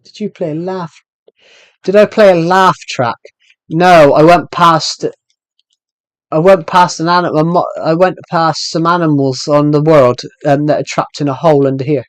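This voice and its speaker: A man's voice: a little high, steady tone, moderate pace, no room sound. A young man in his twenties with a thick British accent, chatting casually on a game stream and rambling as he answers viewers' questions. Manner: rambling, restarts sentences while answering chat questions. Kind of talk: casual live stream chat.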